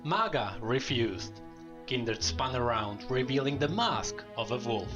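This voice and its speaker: A man's voice: medium pitch, lively intonation, a little slow, lo-fi sound. A man narrating a story in a dramatic storytelling style. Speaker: lore video narrator. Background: music.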